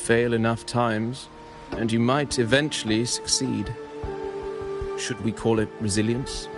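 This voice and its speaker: An actor playing a composed, eloquent poet-storyteller in a video game, narrating an epic in a measured, musing, theatrical way. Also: male voice, medium pitch, steady tone, music in the background, lightly acted. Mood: solemn, lyrical. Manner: measured, deliberate, philosophical and poetic delivery.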